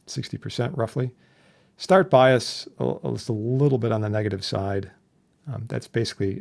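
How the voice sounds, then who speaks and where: A man instructing in an informal software tutorial, chatty and plain-spoken with a wry streak. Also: fairly low pitch, gravelly. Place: indoors, voice-over for a screen recording.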